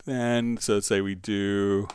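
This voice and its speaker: Low, smooth-voiced man giving a software tutorial. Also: little pitch movement. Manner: hesitant, halting while demonstrating. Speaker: livestream host and software instructor. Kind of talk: live software tutorial, thinking aloud.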